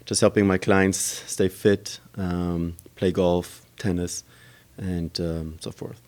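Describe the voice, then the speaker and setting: A man's voice: low-pitched, level tone, slow, a little mellow. A man answering interview questions about his gym, explaining step by step with frequent ums and uhs. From Germany. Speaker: gym owner and trainer.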